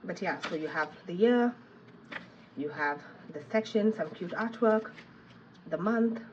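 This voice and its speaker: A woman's voice: lowish voice, animated, unhurried, darkish tone, lo-fi sound, slight background noise. A woman with a cold, chatting casually through a product unboxing review, thinking aloud with frequent ums. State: has a cold, sniffling. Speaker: planner reviewer.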